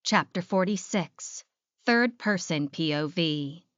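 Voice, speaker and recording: A woman reads third-person fiction as an audiobook narrator. Her voice is on the low side, in a dry and slightly dull recording. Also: animated, slow, no room sound.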